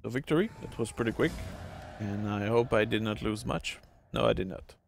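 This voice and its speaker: A man's voice: fairly low pitch, a little fast, creaky, slight background noise. A middle-aged francophone man with a French Canadian accent, rambling casually with many fillers while commenting on a strategy game. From Quebec, Canada. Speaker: gameplay streamer.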